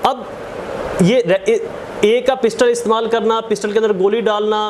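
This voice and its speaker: A high-voiced man with a Pakistani accent teaches law. He explains through worked examples, repeating himself and posing questions he then answers. English legal terms are mixed into his speech, and a faint background noise sits under the recording. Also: fairly even intonation, moderate pace. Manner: didactic, repeats points and asks rhetorical questions. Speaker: law lecturer.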